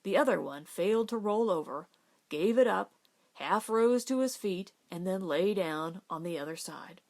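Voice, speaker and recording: A woman's voice: medium pitch, lively intonation, normal speed, darkish tone. A woman reading a novel aloud as an audiobook narrator. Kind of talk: scripted literary narration.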